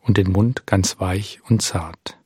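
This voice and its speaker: Low, husky male meditation guide. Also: no echo, studio quality. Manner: calm, gentle, unhurried instruction with long pauses. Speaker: meditation instructor. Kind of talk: guided body scan meditation.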